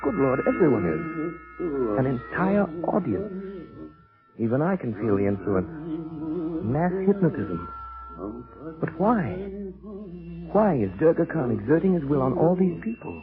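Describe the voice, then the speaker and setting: An actor playing a suave, watchful gentleman sleuth in old radio drama, urbane, dry and earnest. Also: fairly high pitch, sing-song, measured pace, pauses often. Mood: alarmed, urgent. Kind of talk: 1940s radio drama acting. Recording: tinny old radio, music behind.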